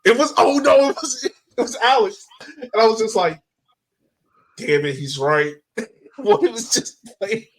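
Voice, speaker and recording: A man hosting a casual sports podcast, talking in an excitable, slangy way. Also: extremely high pitch, unhurried, long pauses, low-quality recording. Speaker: podcast host.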